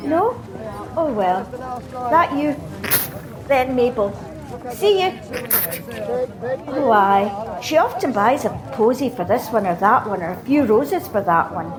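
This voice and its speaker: A woman's voice: a little high, sing-song, slow, long pauses, crowd noise, rough recording. Actress as chatty scottish florist lady, gossipy, very up and down voice, busy street behind. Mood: admiring gossip. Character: chatty, admiring female flower seller, sniffly and wistful. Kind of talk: radio play monologue.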